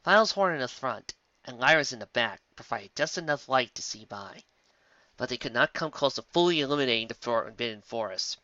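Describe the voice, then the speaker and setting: A narrator reading a scripted fantasy story aloud in an expressive storytelling style. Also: medium pitch, brisk, no room sound, muffled recording. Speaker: fiction narrator.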